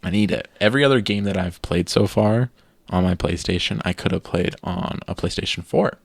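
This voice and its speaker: A young man co-hosting a casual gaming podcast, sounding tired and relaxed, hesitating with lots of fillers. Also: low-pitched, a little fast, gravelly, no echo. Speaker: podcast co-host. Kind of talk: casual chat. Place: small room with green screen.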